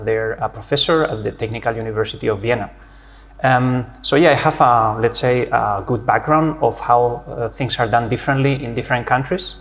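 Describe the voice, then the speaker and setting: Spanish-accented middle-aged professor, friendly explainer, mid pitch, pauses on "uh" often. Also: male voice, gravelly. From Spain. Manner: friendly, explanatory, with frequent "uh" hesitations. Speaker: physics professor, interview guest. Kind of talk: academic interview conversation.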